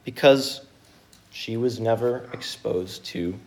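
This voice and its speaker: A man of middle pitch preaching with earnest, measured delivery. He reads scripture, prays, and teaches, pausing between phrases. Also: darkish tone, a little hiss or hum. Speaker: pastor. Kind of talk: sermon with scripture reading and prayer.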